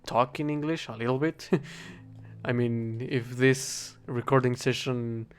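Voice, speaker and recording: A young man with a Spanish accent, a native Spanish speaker, rambling in a casual, halting monologue while he plays a game and keeps correcting himself. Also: medium pitch, fairly even intonation, clean tone. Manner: halting, self-correcting, thinking aloud. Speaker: vlogger.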